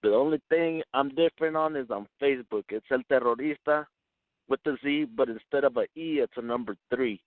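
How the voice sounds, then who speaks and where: A man's voice: mid-range voice, fairly quick, no room sound, narrow, tinny audio. A man in his twenties from Mexico, a native Spanish speaker, chatting casually and talkatively in slangy English as an interviewed rapper. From born in Chihuahua, Mexico; lives in West Texas. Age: 20s. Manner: slangy, talkative, frequent "man" and "you know what I'm saying".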